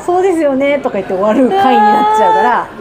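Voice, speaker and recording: Fast, animated woman chatting over crowd noise. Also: high-pitched. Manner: animated, self-deprecating joking. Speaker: podcast co-host and radio presenter. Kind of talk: casual chat. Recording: chatter of people behind. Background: crowd noise of an eatery.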